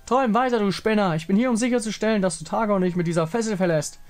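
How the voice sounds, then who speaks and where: A man voicing a bold, defiant female sentinel with a commanding, sharp-tongued manner. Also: high-pitched, quick pace, faint music behind, voice pushed up, natural voice.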